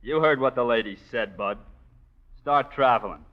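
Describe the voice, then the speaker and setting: Casting: man playing a helpful, blunt nightclub guy, medium pitch, slangy old radio drama, dulled lo-fi sound. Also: normal speed, natural voice. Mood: concerned, firm. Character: protective, plain-spoken male club boss. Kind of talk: 1940s radio crime drama acting. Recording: dull, lo-fi 1940s radio recording.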